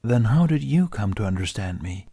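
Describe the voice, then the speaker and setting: A man's voice: on the low side, very animated, moderate pace, warm tone, husky, full character voice. A man voicing an exasperated male dragon companion who speaks in a formal, precise way. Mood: dry, formal.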